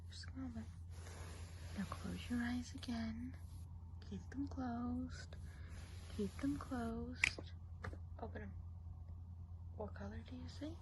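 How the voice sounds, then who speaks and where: A woman playing a gossipy witch of the woods in an ASMR role play. She slips between a soft speaking voice and a whisper, and she slows right down to give careful instructions. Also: fairly high pitch, background noise. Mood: gently instructing.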